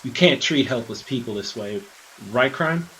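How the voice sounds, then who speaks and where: A man playing a blustering, righteous captive detective in an audio drama, husky and forceful, with an earnest, indignant manner. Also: medium pitch, animated, moderate pace, slight background noise, poor audio. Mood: defiant. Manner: earnest, indignant, tough-talking.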